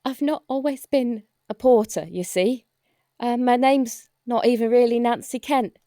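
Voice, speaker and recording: A timid, deferential hospital porter, played by a woman in a comic audio drama. Her voice sits fairly high and is recorded very dry, with a polite, stumbling delivery. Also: unhurried, mellow, no echo. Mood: confessional, dramatic. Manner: hesitant, stammering, polite.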